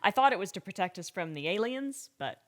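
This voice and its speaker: A woman playing a wry, candid government scientist giving conversational interview testimony with deliberate pauses in an audio drama. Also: mid-range voice, very animated, natural voice. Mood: sombre, recollecting.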